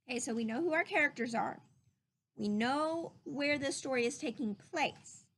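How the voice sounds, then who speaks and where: A woman reading a children's story aloud in an animated, emphatic, teacherly way. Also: high voice, normal speed. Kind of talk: expressive read-aloud narration with teacherly questions to listeners.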